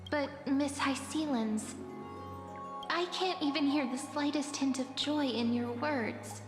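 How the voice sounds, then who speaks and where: A woman's voice: high-pitched, slow pace. A woman playing a perceptive young confidante in a game cutscene, asking things in a formal, probing way. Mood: probing, uneasy. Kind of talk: dramatic game cutscene acting. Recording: echoey reverberant hall sound. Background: music.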